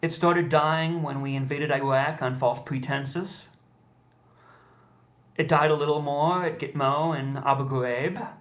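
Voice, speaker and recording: Male, American, somewhat higher voice; earnest, pleading vlogger delivering a heartfelt appeal with halting pauses. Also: steady tone, fairly bright tone. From United States. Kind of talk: impassioned personal appeal to camera.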